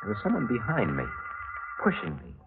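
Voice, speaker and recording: An actor playing a hardboiled, scheming drifter in 1940s radio noir, terse and slangy, heard on a muffled old recording. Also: male voice, lowish voice, wildly swooping pitch, brisk, vocal fry, loud music behind the voice, full character voice. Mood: brooding. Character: hardboiled, scheming male drifter narrating his crime.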